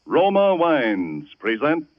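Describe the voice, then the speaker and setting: A man announcing and narrating a scripted 1940s radio show with dramatic, deliberate emphasis. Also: fairly high pitch, huge pitch swings, no echo. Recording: dull 1940s radio recording, loud music.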